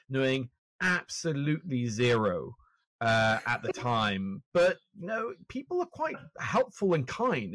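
A man's voice: mid-range voice, fairly bright tone, no echo. Man from Norway with a British accent. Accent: British English. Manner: chatty, self-deprecating, frequent restarts. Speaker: guest author and finance journalist. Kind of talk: conversational interview. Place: home room with shelves, indoors. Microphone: podcast microphone on stand, video call.

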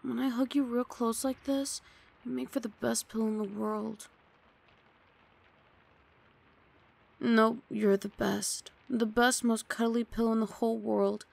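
A woman's voice: a little high, steady tone, normal speed, vocal fry. A woman playing a grumpy but affectionate young female roommate in an intimate ASMR roleplay, sardonic and huffy, speaking close to the mic. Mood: cozy, affectionate. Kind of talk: ASMR roleplay, intimate one-sided dialogue. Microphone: close studio mic, dry.

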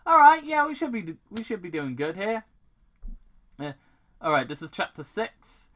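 A person reading fan fiction aloud casually, halting and repeating words, with off-script commentary. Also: medium pitch, very animated, a bit bright.

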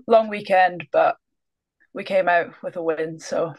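A woman's voice: fairly low pitch, measured pace, gravelly, a little mellow, low-quality recording. A young Scottish woman talking casually as a guest on a video call, easygoing and often trailing off with a laugh. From Scotland. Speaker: guest basketball player. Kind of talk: casual interview. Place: small room indoors.

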